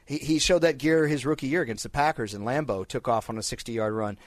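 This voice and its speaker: Man, texan accent, sports radio co-host, excited, dry studio sound. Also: mid-range voice, fast, no room sound. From Texas. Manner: enthusiastic, recalls stats in detail.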